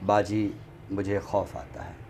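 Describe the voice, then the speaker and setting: A man reciting poetry on a literary show in a deliberate, expressive way, returning to refrains. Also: sits low. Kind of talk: dramatic Urdu poetry recitation, then book talk. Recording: clearly noisy background ambience.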